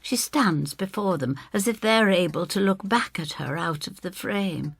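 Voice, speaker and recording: A woman's voice: fairly low pitch, measured pace, creaky, slightly rough, dry recording. An older woman narrating a scripted story in the first person, with dramatic delivery.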